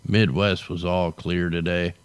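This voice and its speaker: A man's voice: low-pitched, little pitch movement, a little slow, clear, resonant tone. A man hosting a casual weather commentary, tired and losing his voice, talking loosely and tacking "man" onto his sentences. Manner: loose, conversational, drawls "man" at line ends. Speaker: show host. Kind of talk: casual weather commentary over satellite maps.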